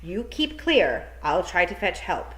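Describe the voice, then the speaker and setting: A woman voicing a brisk, sharp-tongued adult witch, crisp and sardonic, in a roomy space. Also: on the low side, sing-song. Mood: firm warning. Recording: roomy echo.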